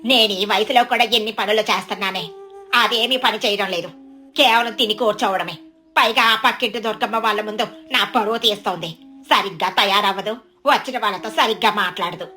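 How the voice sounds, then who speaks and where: A woman's voice: mid-range voice, a little fast, sharp tone, soft background music, close to an everyday voice. A woman voicing a scornful, domineering elderly mother-in-law in a story, complaining sarcastically. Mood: sarcastic, bitter.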